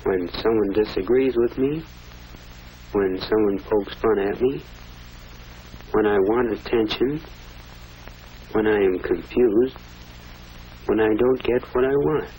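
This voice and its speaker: A man's voice: fairly low pitch, takes it slowly, pauses often, warm tone. A young male actor with an American accent playing an earnest, polite teenage schoolboy in a 1940s educational film. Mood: thoughtful. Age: teenager or young adult. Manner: earnest, deferential. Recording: noisy old 1940s film soundtrack.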